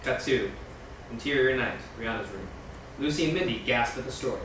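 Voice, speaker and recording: Echoey distant recording, man, quick reading pace, scripted scene narration, screenplay directions. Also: medium pitch, fairly bright tone. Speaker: stage directions reader. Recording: very echoey room, distant mic, noisy.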